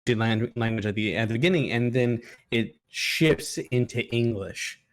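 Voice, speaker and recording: A man with a mid-pitched voice chats about film as a review co-host. His delivery is casual and rambling, full of halting restarts. He swears freely as he goes.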